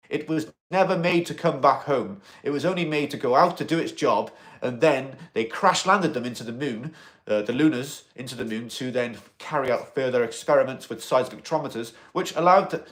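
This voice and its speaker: A man in an alien costume, chatting casually on a livestream and answering viewer comments in a rambling, excitable way. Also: medium pitch. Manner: rambling and excitable, with many fillers and restarts; loud bursts of excitement between quieter asides.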